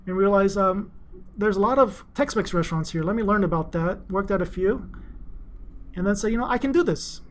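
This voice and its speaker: High-voiced chatty man telling stories. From Greece, raised in Houston, Texas. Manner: chatty, rambling, frequent ums. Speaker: interview guest, finance executive. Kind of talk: casual interview storytelling.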